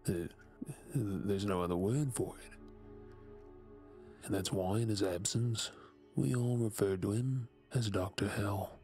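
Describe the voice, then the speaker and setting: A man with an Australian accent playing a remorseful lab assistant in an audio drama, speaking in a deliberate way. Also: fairly low pitch, mellow, soft background music. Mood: haunted, somber. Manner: somber, deliberate, halting. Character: haunted, remorseful male former lab assistant. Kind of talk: dramatic audio roleplay acting.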